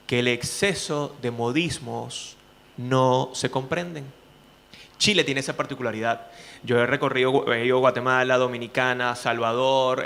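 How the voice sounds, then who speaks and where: A man with a Venezuelan accent, a journalist speaking to students in an animated way, often asking rhetorical questions. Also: medium pitch. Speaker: journalist and speaking coach, guest. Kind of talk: lively talk to students.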